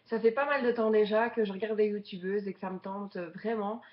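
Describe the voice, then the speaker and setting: A woman's voice: mid-range voice, fairly even intonation, a little fast, highs cut off. A woman of twenty-seven from Belgium, chatting casually to viewers as a beginner vlogger during an unboxing. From Belgium, Charleroi region.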